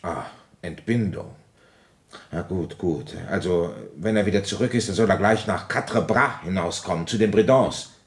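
An actor playing a gruff, resigned, middle-aged French police commissioner in a German radio play, with a sardonic, grumbling way of talking. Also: male voice, deep voice, moderate pace, slight background noise, lightly acted. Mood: brisk, official. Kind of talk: German radio play acting and first-person narration.

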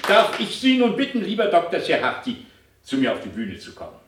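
An actor playing a pompous club official in a German radio drama, giving formal, ceremonious oratory. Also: male voice, on the high side, huge pitch swings, fairly quick, noisy background. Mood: ceremonious, proud. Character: pompous club official making a welcome speech. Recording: echoing, distant public-address style speech.

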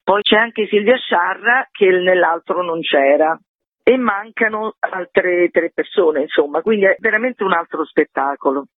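A woman's voice: on the low side, measured pace, no room sound. A woman explaining things in an informal, self-ironic way as a theatre guest in a radio interview over the phone. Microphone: phone call to a radio show. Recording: tinny, muffled phone line.

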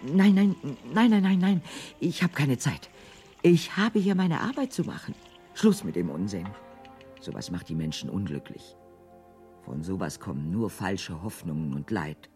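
A harried housewife in a radio play, slow and fussy, with pitch that swings widely. She repeats herself stubbornly, with faint music behind her. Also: big pitch swings, warm tone, heavily acted. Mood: muttering, busy. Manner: repetitive, fussy, muttering to herself, then sharply dismissive. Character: harried, stubborn housewife nursing a sick man. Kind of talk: German radio drama acting.

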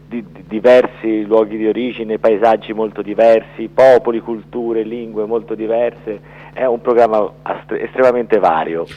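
A man's voice: lowish voice, steady tone, normal speed. A man giving a radio interview as a festival director, explaining things in careful, formal phrases over a remote link. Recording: dull band-limited phone-like radio link.

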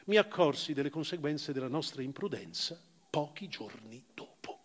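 An actor voicing a grave, reflective former prisoner, giving a measured, theatrical narration of a memoir. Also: male voice, on the high side, animated, close to an everyday voice. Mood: hushed, sombre. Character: former camp prisoner recounting his past, grave and reflective. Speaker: actor reading a literary memoir. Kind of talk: dramatic literary narration, live stage reading for radio. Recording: long reverberant hall, music present. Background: live music.

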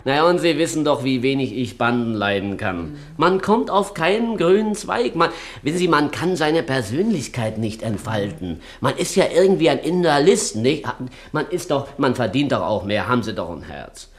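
An actor playing a chatty small-time burglar in a satirical radio play, stammering, repeating himself and wheedling theatrically. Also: male voice, mid-range voice, expressive, moderate pace, natural voice. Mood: pleading.

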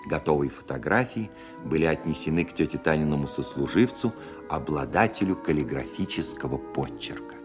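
A man playing a composer who recalls his childhood with gentle humour as narrator of a radio play. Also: rumbling low, expressive, brisk. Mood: wry, proud. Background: music.

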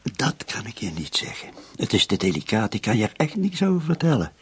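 An actor playing a shrewd, sceptical police commissioner with a husky voice in a radio drama. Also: male voice, fairly low pitch, sing-song, brisk, full character voice. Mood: evasive, domestic. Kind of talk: Dutch-language radio drama dialogue.

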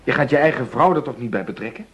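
An actor playing a male police inspector turned undercover sidekick in a radio play, blunt and informal, with a husky voice. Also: mid-range voice, lively intonation, fast, fairly bright tone. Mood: sceptical. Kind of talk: Dutch radio play acting.

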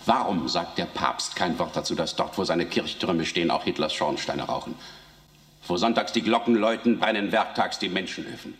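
A man's voice: low voice, lively intonation, moderate pace, a bit bright. A man playing an earnest, accusing figure in a radio drama, recorded in a reverberant space. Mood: grave, accusing. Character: earnest, accusing man confronting church silence. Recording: echoey hall with long reverb.

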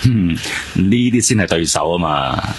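An actor playing a laid-back, teasing detective partner in a radio drama, slangy and offhand, with a Hong Kong Cantonese accent. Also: male voice, low-pitched, expressive, moderate pace, background noise. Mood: offhand, intrigued.

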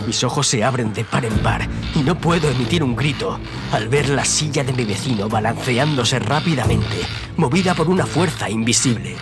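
A man's voice: medium pitch, animated, moderate pace. A man narrating a first-person horror story in a dramatic, suspenseful, theatrical manner. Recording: loud music under the voice. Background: loud music.

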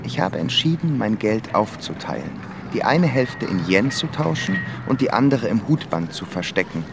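A man playing a reflective traveling composer, reading his diary and letters in a radio drama. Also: fairly low pitch.

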